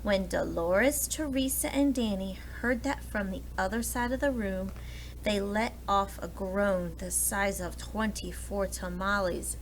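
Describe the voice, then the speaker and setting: A woman's voice: mid-range voice, measured pace, a little hiss or hum, poor audio. A woman reading a children's storybook aloud expressively, like a preschool teacher addressing young listeners. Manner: explains words and asks listeners questions.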